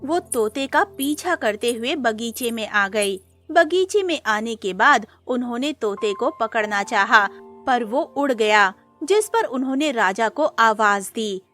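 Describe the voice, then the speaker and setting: A woman narrates a scripted cartoon tale at a slow, measured pace. Her voice is fairly high, with music playing underneath. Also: lively intonation, a bit bright. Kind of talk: scripted children's-style story narration.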